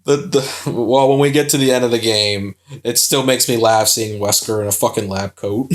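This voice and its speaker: A man's voice: mid-range voice, fairly even intonation, normal speed. A young man co-hosting a casual video game playthrough, rambling and swearing often, with lots of filler words. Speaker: co-host playing a video game.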